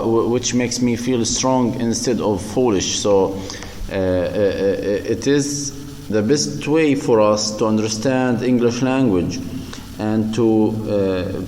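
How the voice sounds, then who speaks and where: A male university lecturer, speaking slowly and haltingly with many fillers and repeated words in a recorded lecture. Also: mid-range voice, darkish tone, roomy sound, long reverb tail, slight background noise. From Palestine.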